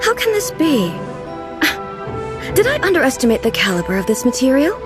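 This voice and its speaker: A woman's voice: sits high, wildly swooping pitch, music in the background. A woman playing a composed, regal ruler in a video game, speaking formally with earnest curiosity. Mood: puzzled, earnest. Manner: formal, measured, earnest, literal-minded.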